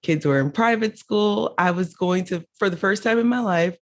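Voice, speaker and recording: A woman's voice: medium pitch, normal speed. A middle-aged woman from Haiti, raised in Miami, telling her story as a podcast guest, candid and laughing as she goes. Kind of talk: casual interview storytelling.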